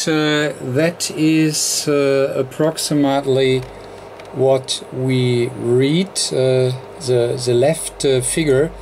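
A man hosting an unscripted technical demonstration at an indoor workbench, speaking haltingly with frequent hesitations mid-phrase. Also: a little high, darkish tone. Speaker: electronics tutorial host.

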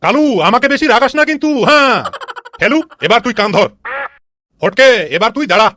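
A man voicing a bossy, exasperated male cartoon schoolteacher, with his voice pitched up. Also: expressive, brisk, pushed and bright, no echo, heavily acted. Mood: exasperated scolding. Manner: scolding, questioning. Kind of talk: comic cartoon dialogue.

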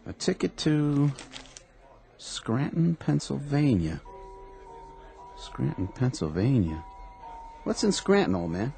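An actor playing a down-on-his-luck former pitcher in a radio drama, humble and eager. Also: very animated, slow, vocal fry, pauses often, mellow, exaggerated voice. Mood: plain. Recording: radio play with music underneath.

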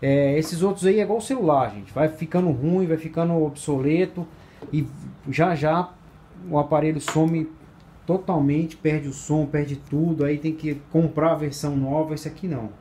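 A man's voice: a little high, normal speed, warm tone. A man presenting a product casually and informally for a shop, repeating himself for emphasis. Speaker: motorcycle accessory shop presenter. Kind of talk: casual product review to camera. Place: indoors at a table by a large sunny window. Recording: background ambient noise.

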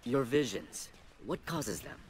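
An actor playing a steadfast young martial monk in a video game, earnest and composed in plain delivery. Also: male voice, mid-range voice, lively intonation, slow pace, a little mellow, a little hiss or hum. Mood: questioning. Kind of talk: video game drama acting.

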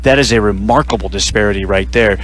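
A man hosting a radio postgame broadcast, running through final stats and sponsor reads in a measured way. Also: on the low side, a bit bright, slight background noise. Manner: stat-heavy, measured delivery with sponsor reads. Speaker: radio play-by-play announcer.

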